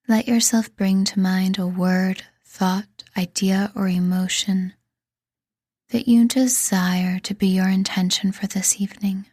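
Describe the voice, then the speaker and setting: A woman reading a scripted guided meditation in a soothing, deliberate manner, leaving pauses between phrases. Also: medium pitch, dark tone, husky, dry recording, studio quality. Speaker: meditation guide and podcast host.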